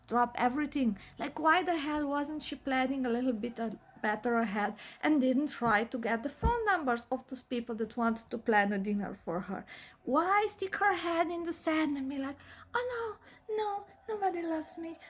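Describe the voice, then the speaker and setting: High-voiced Eastern European woman ranting. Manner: emphatic, animated, rhetorical questions. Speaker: vlogger. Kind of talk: opinionated commentary monologue.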